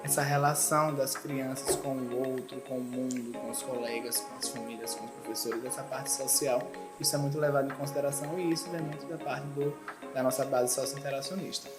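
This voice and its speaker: A woman's voice: very deep voice, steady tone, brisk. Earnest woman. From Sergipe, Brazil. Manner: earnest, explanatory, acts out quotes of parents. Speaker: school coordinator. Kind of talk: interview guest explaining. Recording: echoey room with background music.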